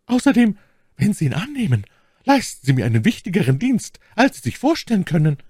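A man voicing a secretive, scheming noblewoman in soft, high falsetto for an audiobook. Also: wildly swooping pitch, a little fast, slightly rough, dry recording, clean studio sound, heavily acted. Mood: honeyed, secretive. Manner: soft falsetto, tender then menacing.

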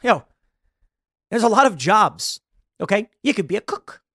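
A man's voice: high-pitched, big pitch swings, measured pace, fairly bright tone, no room sound. A man in his late thirties hosting political commentary, reacting to a video with sarcastic, mocking remarks. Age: 30s.

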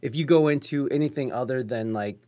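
American man as interviewer, mid-pitched voice, halting and searching, then animated. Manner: halting, many fillers and restarts, then animated. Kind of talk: casual interview conversation.

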